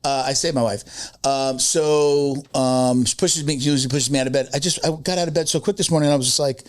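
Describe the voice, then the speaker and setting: A man's voice: mid-range voice, fairly even intonation, a little fast, no echo, studio quality. A man in his fifties, a podcast co-host chatting casually, rambling with many fillers and restarts. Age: 50s. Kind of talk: casual chat.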